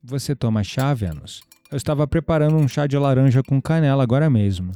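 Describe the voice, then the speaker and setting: An actor playing a calm, kindly, mystical shopkeeper in an audio drama, with a Brazilian accent and a soft, unhurried, reflective way of talking. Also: male voice, medium pitch, smooth, soft background music, close to an everyday voice. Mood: welcoming. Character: calm, kindly mystical young man, incense shop keeper.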